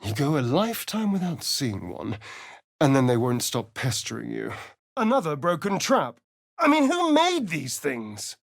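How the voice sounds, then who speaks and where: A man's voice: on the high side, huge pitch swings, a little slow, gravelly, no room sound, clean studio sound, heavily acted. An actor playing a suave, sardonic male vampire spawn in a video game, with an arch, drawling, theatrical manner. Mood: sardonic, exasperated. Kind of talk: video game character voice lines.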